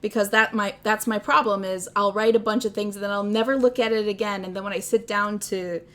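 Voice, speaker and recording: A woman's voice: medium pitch, fairly even intonation, a little fast, fairly bright tone. A young woman with a Midwestern accent, chatting casually on a livestream, rambling and self-deprecating, laughing often. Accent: Midwestern American. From American Midwest. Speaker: streamer.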